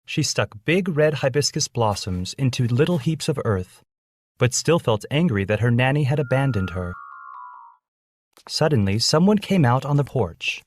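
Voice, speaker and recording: A man's voice: mid-range voice, expressive, moderate pace, no echo. A man reading a scripted children's story as its narrator.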